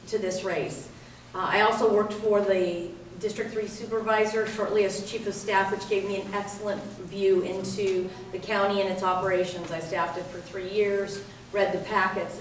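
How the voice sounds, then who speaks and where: A middle-aged woman from Northern California, giving a measured debate opening statement as a candidate. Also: medium pitch, slight background noise. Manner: measured, with frequent um and uh. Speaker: political candidate. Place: public event hall. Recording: echoey hall from far off.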